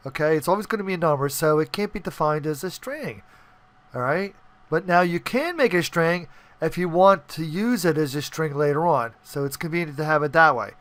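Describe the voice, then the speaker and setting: A man's voice: fairly high pitch, bright, forceful tone, clean tone, no room sound. A man hosting a casual screen-recorded coding tutorial, talking informally and often checking in with the listener. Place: indoors, voice-over on screen capture.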